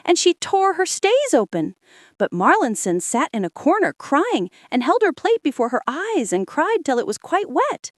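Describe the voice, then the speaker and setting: Lady reading a bedtime fairy tale, high lively voice, super dry close mic. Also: no room sound. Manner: slow, expressive bedtime reading. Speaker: storyteller. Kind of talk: storybook read-aloud.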